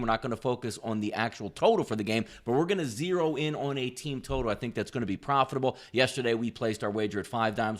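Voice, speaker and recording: A man's voice: mid-range voice, a bit bright. Man hosting a sports betting podcast. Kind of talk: sports betting picks commentary, addressing listeners.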